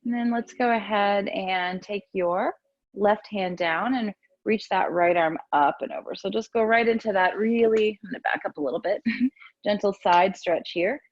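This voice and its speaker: A woman teaching yoga and meditation, giving gentle, soothing, unhurried guidance with pauses between instructions. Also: medium pitch, no echo, dull audio.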